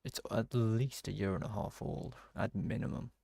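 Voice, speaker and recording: A man with a gravelly, husky voice doing casual gameplay commentary, musing aloud in halting bursts. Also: lowish voice, steady tone, mellow, dry recording. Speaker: game streamer.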